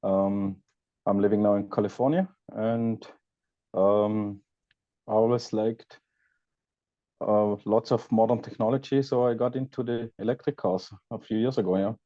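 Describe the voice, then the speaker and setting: A man from Austria with an Austrian accent, a podcast guest chatting casually and pausing to search for words. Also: lowish voice, fairly even intonation, warm tone, no room sound, lo-fi sound. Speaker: guest, EV content creator. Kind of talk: casual interview chat.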